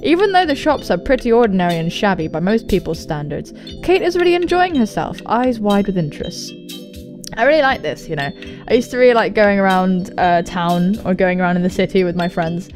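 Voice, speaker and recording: A woman's voice: medium pitch, darkish tone. A young woman hosting a gaming video, reading a visual novel aloud with sweary, jokey asides. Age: young adult. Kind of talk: let's play commentary and visual novel read-aloud. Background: music.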